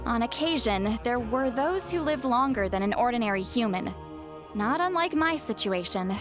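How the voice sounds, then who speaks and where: A woman's voice: fairly high pitch, expressive, moderate pace, lightly acted. A woman voicing a fretful young shaman. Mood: earnest. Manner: worried, earnest, a touch formal. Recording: game audio over soft music.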